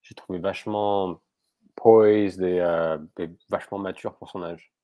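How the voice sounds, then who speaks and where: A man guest on a live basketball stream, speaking casually and haltingly with many restarts. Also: low voice, fairly even intonation, warm tone, dry recording. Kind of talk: casual chat.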